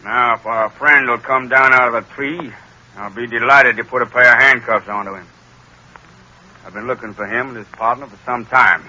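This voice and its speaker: Forceful, folksy country sheriff. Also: male voice, medium pitch, steady tone, moderate pace. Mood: matter-of-fact. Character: country sheriff, matter-of-fact, steady. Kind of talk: old-time radio acting. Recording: faintly noisy vintage radio recording.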